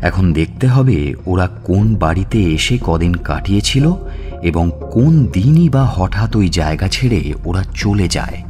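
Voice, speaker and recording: A man, reading a detective story in the first person as scripted dramatic audiobook narration. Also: sits low.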